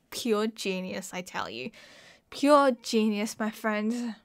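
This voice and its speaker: A young woman with an Australian accent playing an excitable, mischievous college friend in a casual audio roleplay. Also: mid-range voice, takes it slowly, creaky, clear, resonant tone. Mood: mischievous, reminiscing. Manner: playful, giggly, slangy. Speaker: roleplay voice performer. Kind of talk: ASMR roleplay acting, casual chat to a friend.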